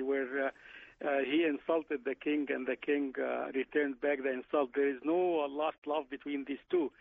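A man from Egypt, a guest professor on a radio call-in, talking haltingly with many fillers and restarts. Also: on the high side. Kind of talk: phone-in radio interview. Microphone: phone. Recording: tinny phone line.

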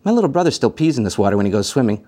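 A man's voice: medium pitch, sing-song, racing, warm tone. A man reading aloud, voicing a feisty, blunt young girl who snaps and blurts things out. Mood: snappy.

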